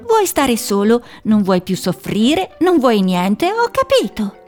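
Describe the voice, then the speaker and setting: A woman's voice: fairly high pitch, huge pitch swings, slow, darkish tone, faint music behind, exaggerated voice. A woman voicing a kind, sensible young female owlet in a storybook. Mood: impatient.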